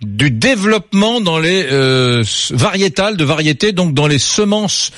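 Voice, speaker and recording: Male talk-radio host, fairly high voice, dry studio sound, brisk interviewing. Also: very animated, fairly bright tone, no room sound. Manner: brisk, with short affirming interjections. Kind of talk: radio talk-show hosting.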